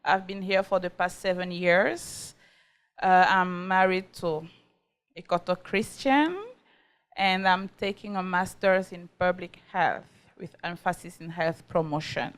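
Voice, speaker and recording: A woman's voice: on the low side, fairly even intonation, measured pace. A woman from Cameroon, a graduate student, talking as a guest on a studio panel and explaining things in a lightly amused way. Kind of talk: casual studio conversation.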